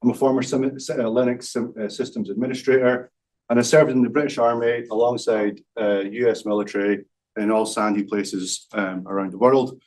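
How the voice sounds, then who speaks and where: Scottish man pitching on stage. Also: fairly even intonation, normal speed, a little mellow, far from the mic, low-quality recording. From Scotland. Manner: self-deprecating, many ums and uhs. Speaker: startup founder presenting. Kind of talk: live pitch presentation. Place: event stage.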